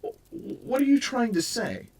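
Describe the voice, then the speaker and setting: A man voicing a flustered, fast-talking man dodging blame, who stammers and fills his talk with hesitations. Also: very high-pitched, huge pitch swings, a little mellow, pitched above the performer's own voice, heavily acted. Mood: defensive. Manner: stammering, full of uh fillers. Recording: voice a bit far from the mic.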